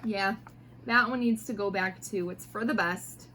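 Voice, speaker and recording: A woman reading picture books aloud to children in an expressive, playful way, talking directly to her young listeners. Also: medium pitch, unhurried, slight background noise. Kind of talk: animated storybook read-aloud.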